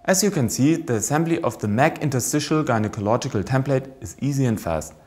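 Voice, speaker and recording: A man in his thirties giving a scripted, formal, step-by-step instructional presentation of a product in a measured way. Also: mid-range voice, lively intonation, darkish tone, slightly rough. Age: 30s.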